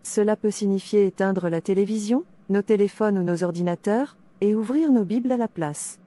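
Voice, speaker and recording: A woman's voice: medium pitch, moderate pace, dark tone. A woman reading scripted devotional narration, addressing the listener directly.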